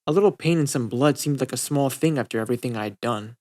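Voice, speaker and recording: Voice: mid-range voice, quick pace, a little mellow, close to an everyday voice. A reader voicing a sheepish, defensive teenage girl in a cartoon fan story, speaking hesitantly. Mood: guilty, defensive. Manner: hesitant, subdued.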